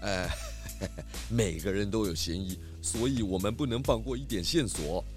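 A man's voice: on the low side, moderate pace, faint music behind, close to an everyday voice. A man playing a genial, methodical pig detective inspector in a children's audio drama, questioning in a polite, easygoing way. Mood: probing, genial.

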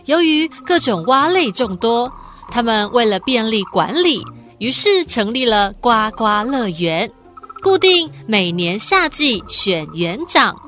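A woman narrator tells a storybook-style radio tale. Her voice is bright and fairly high with lively swings in pitch, over light music. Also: measured pace.

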